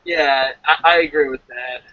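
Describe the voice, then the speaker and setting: A man giving excitable, casual fan commentary as co-host of a review show, rambling and repeating himself. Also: medium pitch, normal speed, dull audio.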